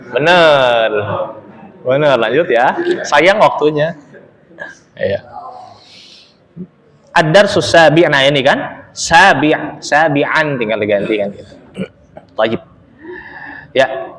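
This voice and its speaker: Unhurried male teacher in a reverberant room. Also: on the high side. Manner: relaxed and chatty, laughs often, reads Arabic lines slowly and clearly. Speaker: Arabic teacher. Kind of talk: casual online Arabic lesson, reading aloud for repetition. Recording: long reverberant echo.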